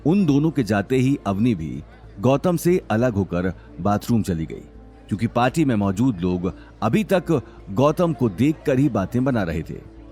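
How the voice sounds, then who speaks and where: A man's voice: mid-range voice, sing-song, a little slow, mellow, faint music behind. A man working as narrator, reading a scripted dramatic story.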